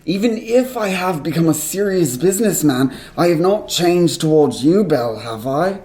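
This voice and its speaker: A woman's voice: lowish voice, animated, moderate pace, dark tone, lightly acted. A woman playing a proud, defensive young businessman in a radio play, with formal delivery.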